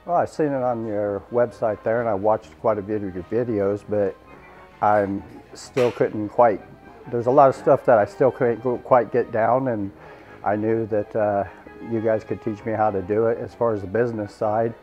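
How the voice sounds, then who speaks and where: Halting man from Wyoming, low voice. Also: fairly even intonation. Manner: halting, hedging with many fillers. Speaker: auto detailing student. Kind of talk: testimonial interview. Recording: slightly dull, muffled sound. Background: soft background music.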